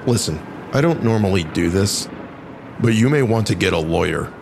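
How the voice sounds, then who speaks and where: An actor playing a concerned, steady police officer in a naturalistic audio drama, plain-spoken and a little halting. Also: male voice, on the low side. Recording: clear outdoor traffic and vehicle noise. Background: cars and urban outdoor ambience.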